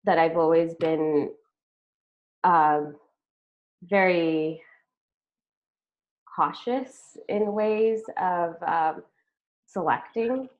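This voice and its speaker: Low slow woman on a tinny call. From Sudan. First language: Arabic. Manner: hesitant, many pauses and fillers. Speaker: artist guest. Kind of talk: casual panel conversation. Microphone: video call. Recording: tinny phone-like video call audio.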